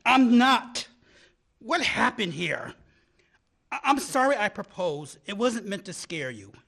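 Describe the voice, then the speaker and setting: A man's voice: high voice, big pitch swings, takes it slowly, creaky, bright, forceful tone, slightly rough, exaggerated voice. An actor playing an earnest man in love, a historical researcher, in a dramatic radio-play style. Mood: pleading. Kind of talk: stage radio play acting.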